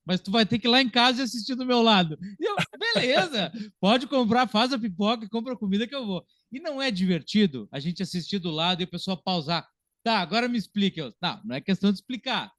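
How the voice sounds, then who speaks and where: A man, co-hosting a casual film discussion in an animated, chatty way. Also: high-pitched, no echo, clean studio sound. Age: early 30s. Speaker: podcast co-host and film critic.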